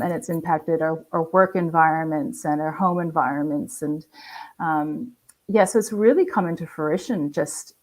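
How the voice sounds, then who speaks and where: A woman who works in change management, answering interview questions in measured, step-by-step explanations over a video call. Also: low-pitched, poor audio. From not from Australia. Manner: measured, walks through history step by step.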